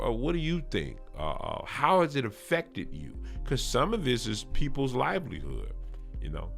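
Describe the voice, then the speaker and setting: A man hosting a podcast, talking casually and encouragingly to his viewers in a halting, repetitive way. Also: deep voice, vocal fry, fairly bright tone. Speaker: podcast host. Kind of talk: casual promotional talk to viewers.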